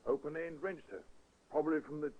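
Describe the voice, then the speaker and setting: An actor playing a deferential, observant police constable, formal and precise, in old-time radio drama style. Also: male voice, fairly high pitch, fairly even intonation, brisk, slight background noise, close to an everyday voice. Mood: respectful. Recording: muffled vintage radio recording, narrow band.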